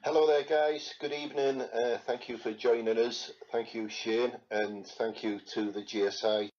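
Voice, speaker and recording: A man's voice: mid-range voice, normal speed, muffled recording. A man hosting a live question-and-answer stream as a spirit medium, chatting casually with lots of fillers. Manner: relaxed, chatty, many fillers.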